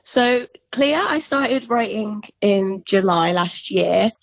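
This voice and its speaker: A woman's voice: mid-range voice, expressive, slow pace, gravelly, no room sound. Young woman musician chatting in a phone interview, reflective, muffled lo-fi line, dry. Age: young adult. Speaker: singer-songwriter being interviewed. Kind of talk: casual, reflective interview chat.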